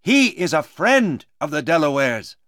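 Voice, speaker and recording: A man's voice: fairly high pitch, sing-song, a little slow, a bit bright, dry recording, clean studio sound, voice pushed up. A man voicing a proud, earnest young Mohican warrior in a storybook, with an emphatic, declaiming delivery.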